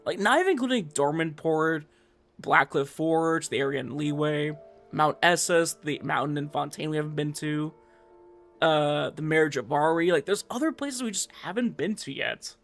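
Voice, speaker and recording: A man's voice: fairly high pitch, animated, moderate pace, vocal fry, a bit bright, faint music behind. A man chatting casually as a livestream host, rambling in informal gamer slang and acting out imagined quotes. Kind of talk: casual chat while streaming a game.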